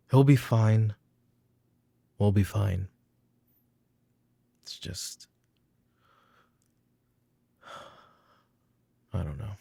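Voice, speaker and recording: Man playing a deep, gravelly, brooding mafia boss. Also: mellow, no room sound, heavily acted. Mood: weary, agitated. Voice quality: gravelly, low. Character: weary, brooding male mafia boss and protective father. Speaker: voice actor. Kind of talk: roleplay ASMR audio drama.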